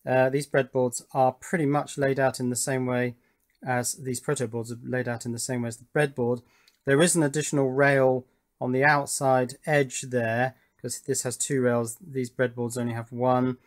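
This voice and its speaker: A man's voice: mid-range voice, flat delivery, normal speed. A man hosting a hobby electronics video, talking through his build unscripted in a matter-of-fact, technical and self-critical way. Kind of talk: unscripted workbench explanation.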